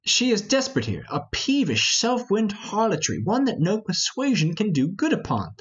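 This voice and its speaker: A man's voice: high-pitched, wildly swooping pitch, normal speed, husky, highs cut off, voice pushed up, heavily acted. A man playing a commanding, solemn older lord and father in an amateur Shakespeare reading, declaiming the verse. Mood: anxious, exasperated.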